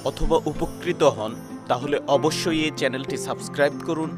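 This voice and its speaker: A man reading a scripted channel outro in a calm, formal voice-over. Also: a little high, moderate pace, over music. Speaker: channel announcer.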